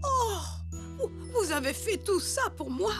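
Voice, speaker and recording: A performer voicing a kindly old zebra grandmother in a children's cartoon, gentle and full of exclamations. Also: very high-pitched, sing-song, slow pace, heavily acted. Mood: delighted. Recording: music behind the voice.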